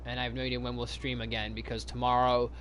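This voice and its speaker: A man's voice: medium pitch, monotone, fast talker, fairly bright tone, a little hiss or hum. A man hosting a casual live stream, chatting to viewers in a rambling way, repeating himself and restarting his sentences.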